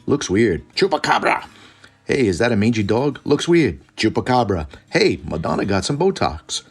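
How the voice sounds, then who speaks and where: A man voicing a gullible, excitable bystander in a comic aside, exclaiming at every sighting. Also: on the low side, normal speed, breathy edge, voice pushed up. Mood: mock excitement.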